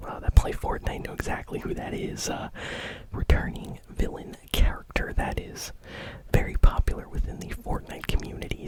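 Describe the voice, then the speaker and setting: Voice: very high voice, rough recording. Whispered ASMR gaming news host. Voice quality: breathy unvoiced whisper.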